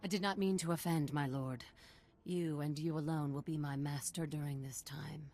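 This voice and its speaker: An eccentric desert guide from a video game. She speaks formally in a slightly low voice, deferential yet mysterious, like a storyteller. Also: fairly even intonation, normal speed, darkish tone. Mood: reverent intrigue. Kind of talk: scripted video game acting.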